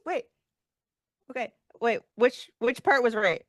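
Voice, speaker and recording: A woman's voice: on the high side, takes it slowly, no room sound, clean studio sound. An American woman as an interview guest, chatting casually in a playful, self-deprecating way. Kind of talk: casual chat.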